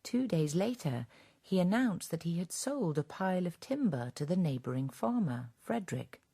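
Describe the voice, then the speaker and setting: A woman narrating a radio drama in a measured, scripted storytelling manner. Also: fairly low pitch, sing-song, gravelly, mellow, breathy edge.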